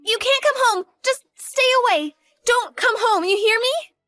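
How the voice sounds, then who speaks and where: A woman's voice: very high voice, expressive, normal speed, fairly bright tone, no room sound, faint music behind. A woman doing a panicky, devoted young daughter. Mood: panicked. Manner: earnest, panicky, pleading. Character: devoted, frightened college-age daughter. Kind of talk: dramatized story acting.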